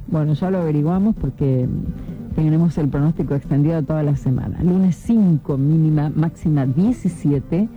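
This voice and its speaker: A woman's voice: low voice, narrow, tinny audio. A woman, hosting early-morning call-in radio and chatting as she reads out listener messages. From Córdoba, Argentina. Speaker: radio host. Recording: phone-thin radio broadcast with faint music.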